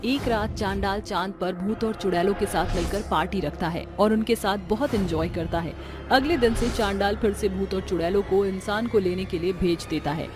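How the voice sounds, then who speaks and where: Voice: mid-range voice, normal speed, over music. A narrator reading a scripted story.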